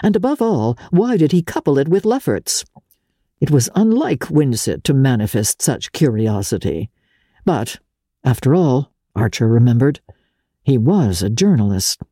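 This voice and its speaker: A woman narrating an audiobook of classic literature as a dramatic reading, measured and expressive. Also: a bass voice, husky, no room sound, studio quality.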